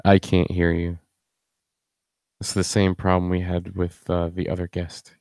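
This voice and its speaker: Deep, smooth-voiced man hosting casually. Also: monotone, a little slow, darkish tone, no echo. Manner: rambling, joking. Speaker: host. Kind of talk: casual live stream chat.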